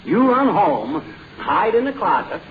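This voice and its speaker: A long-suffering, wisecracking husband in a forties radio comedy. His voice sits somewhat high, full of folksy bluster. The old recording is dull and clearly noisy. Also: very animated, unhurried. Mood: sly, patient. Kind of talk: 1940s radio comedy acting.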